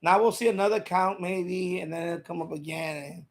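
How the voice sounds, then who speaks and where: A man's voice: sits high, steady tone, fairly quick. A man hosting a casual live stream, talking informally to his chat in a rambling way and often repeating his phrases. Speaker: live stream host of a radio hobby channel.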